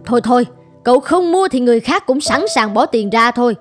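A woman's voice: fairly high pitch, animated, fairly quick, close to an everyday voice. A woman playing a haughty, mocking middle-aged tavern landlady in a cartoon. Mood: haughty, dismissive. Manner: dismissive, mocking. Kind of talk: cartoon dub acting. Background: music.

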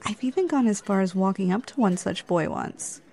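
A woman's voice: mid-range voice, expressive, fairly quick, creaky, mellow. Flirty, teasing woman acting on a date. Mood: amused, flirty. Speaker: ASMR roleplayer. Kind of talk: ASMR roleplay.

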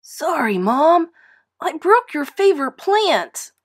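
Storybook young boy pig, played by a woman: eager, innocent, very high and animated. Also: slow pace, full character voice. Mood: sorry. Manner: excitable, earnest.

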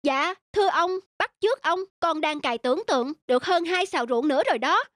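Woman playing cheeky young farmhand, very high, animated, slyly polite, cartoon folk tale, music behind. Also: fairly quick, no room sound, clean studio sound. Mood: sly, mock-polite.

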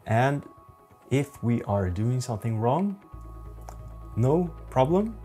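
A man in his forties with a Norwegian accent, giving a software tutorial in a methodical, halting way. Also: medium pitch, sing-song, soft background music. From Norway. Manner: slow, methodical, halting explanations. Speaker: photography instructor.

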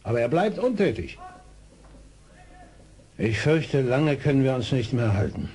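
An actor playing a proud, beleaguered British fort colonel in a German audio drama, speaking in a formal, measured military manner. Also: male voice, mid-range voice, big pitch swings, darkish tone. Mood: grave, worried.

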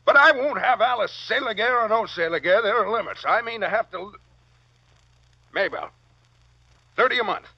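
A middle-aged American head of household, flustered and pleading. His fairly high voice swings widely as he wheedles and stammers. The sound is a dull, dry old radio recording. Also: lively intonation, moderate pace, pauses often, slightly rough, no room sound, full character voice. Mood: desperate pleading. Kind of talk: 1940s radio acting. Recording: muffled vintage radio recording.

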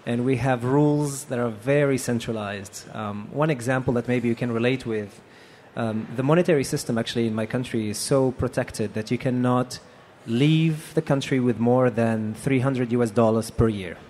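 A man's voice: medium pitch, normal speed, few pauses. Guy on a panel stage, handheld mic, easygoing with lots of ums, faint hall noise. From Tunisia. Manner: relaxed, conversational with many fillers. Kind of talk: conference panel talk. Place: large expo hall stage.

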